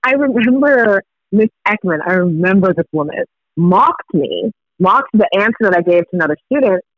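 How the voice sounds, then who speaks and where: A man's voice: sits high, lively intonation, normal speed, dry recording, dull audio. A Kenyan-born man whose first language is Swahili, telling a story in casual chat with laughter.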